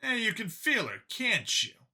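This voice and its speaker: Man acting a brash, high-pitched hunter medic. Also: wildly swooping pitch, a little slow, sharp tone, full character voice. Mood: insistent. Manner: combative, folksy, exclamatory. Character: brash, cocky older human medic and hunter, male. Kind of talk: acted audiobook dialogue.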